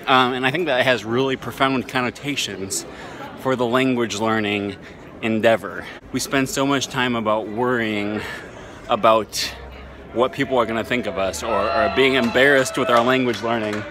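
Chatty man vlogging on a city street. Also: medium pitch. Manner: relaxed and chatty, with many ums and uhs. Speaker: language-learning vlogger. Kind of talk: casual selfie vlog monologue. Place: busy city streets and square outdoors. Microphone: phone camera held at arm's length. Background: faint street and traffic noise.

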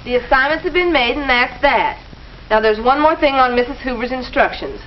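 A young woman playing a firm, businesslike teenage club leader in a 1950s school film, speaking briskly. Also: high voice, animated. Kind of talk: 1950s educational film acting. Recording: muffled old film soundtrack with hiss.

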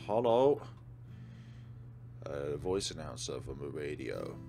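A man's voice: very deep voice, big pitch swings, slow pace, creaky, warm tone. A man hosting a let's play, reading game text aloud and commenting on it. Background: game music.

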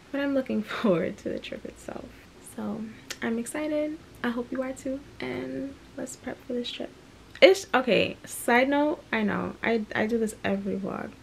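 A young woman chats straight into a close camera in her small bedroom, loose and candid, trailing off into fillers. Her mid-pitched voice carries a gravelly fry at the ends of lines. A faint hiss of room noise sits underneath. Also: a little mellow, low-quality recording. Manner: chatty, candid, rambling with fillers. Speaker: vlogger. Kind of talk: casual vlog talk to camera. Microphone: camera close at arm's length.

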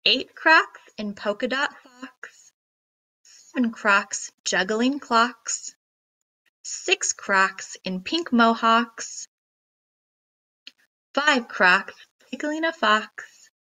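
A woman reading a children's picture book aloud in a deliberate, expressive storytelling manner. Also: fairly high pitch, poor audio. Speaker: read-aloud narrator.

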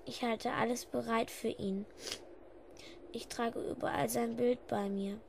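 A female performer playing a stubborn, earnest, grieving little girl with a creaky voice in an audio drama. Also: on the high side, fairly even intonation, a little slow, background noise. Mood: sad, determined. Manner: earnest and insistent.

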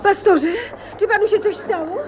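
Genteel alarmed lady: very high pitch, radio-play acting, heavy crowd din behind. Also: moderate pace. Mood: concerned, gracious. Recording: very noisy crowded street scene.

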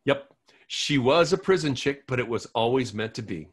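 A man's voice: mid-range voice, normal speed, slightly rough. A man reading an eager lovesick guy. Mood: upbeat. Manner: eager and excitable. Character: lovesick eager young man, radio host. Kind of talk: table read.

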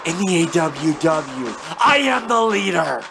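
A man delivering a scripted trash-talk wrestling promo, swinging between loud shouted taunts and low muttering, recorded close on a webcam indoors. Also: very animated, measured pace. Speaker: hobby wrestler in character. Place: indoor room with window blinds. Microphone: webcam close to face. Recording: music clearly playing behind.

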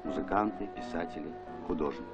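A man's voice: a bass voice, expressive, fast. A man delivering scripted documentary narration on an old film soundtrack. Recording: dull vintage film soundtrack with music. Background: music.

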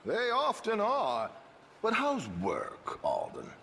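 An actor playing a dapper middle-aged con artist in a western video game, genteel and theatrical, with flowery phrasing. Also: male voice, sits high, huge pitch swings, takes it slowly, creaky, noisy background, full character voice. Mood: smooth, ingratiating.

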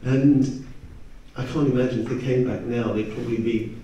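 A man's voice: medium pitch, steady tone, normal speed, warm tone, highs cut off. A man talking casually and hesitantly on a convention panel, rambling a little as he goes. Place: stage in a hall, recorded from audience camera. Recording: echoey hall from far off, noisy.

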